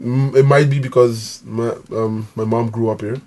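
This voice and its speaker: A man's voice: medium pitch, steady tone, normal speed, dark tone. A man whose first language is Dutch, co-hosting a podcast in a teasing way with frequent laughs. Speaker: podcast co-host. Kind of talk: casual podcast chat.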